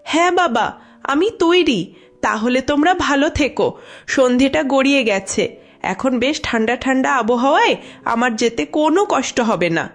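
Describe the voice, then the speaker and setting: Woman playing a high-voiced, brave young village daughter. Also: lively intonation, moderate pace. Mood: obedient, ready. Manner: reassuring, dutiful. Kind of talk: dramatised horror cartoon dialogue.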